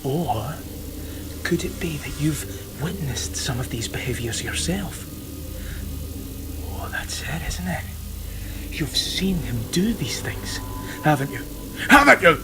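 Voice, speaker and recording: A man playing a sinister, taunting villain in an audio drama, insinuating and hypnotic, slipping into breathy whispers. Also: a little high, lively intonation, takes it slowly, long pauses, noisy background. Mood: sly, taunting. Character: sinister, taunting male villain known as the teacher.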